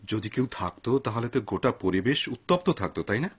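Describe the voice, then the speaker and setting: A man playing a cautious, pious father in a dubbed cartoon, grave, measured and admonishing. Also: mid-range voice, sing-song, breathy edge. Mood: wary.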